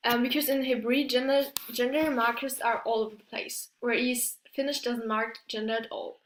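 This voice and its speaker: A woman's voice: on the high side, fairly even intonation, moderate pace, a little hiss or hum. Hesitant young woman in an echoey room. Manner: hesitant, with fillers and self-corrections. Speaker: student presenter. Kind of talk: class presentation, partly read.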